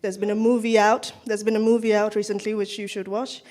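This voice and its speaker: A woman's voice: medium pitch, little pitch movement, quick pace, a little mellow. A woman from Nepal, a singer chatting between songs at a cultural event, self-deprecating and apologetic, into a stage microphone. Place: event hall, indoors. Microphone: handheld stage microphone.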